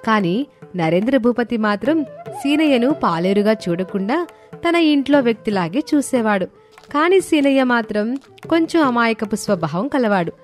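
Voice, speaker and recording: A woman's voice: fairly high pitch, animated, normal speed. A woman storyteller giving a scripted narration of a children's moral tale. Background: faint music.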